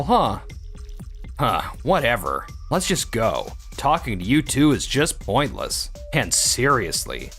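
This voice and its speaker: A man acts out a boastful, touchy young boss from a manga dub. He is smug and theatrical, swinging widely in pitch as he belittles people. Light music plays underneath. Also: a bit bright. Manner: smug, sneering, dramatic. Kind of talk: animated manga dub dialogue.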